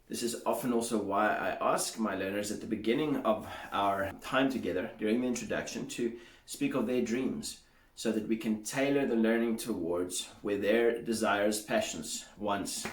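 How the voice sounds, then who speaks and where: A man teaching English pronunciation in a measured, explanatory way, sounding out examples aloud. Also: fairly low pitch, echoey room. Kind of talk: solo teaching monologue.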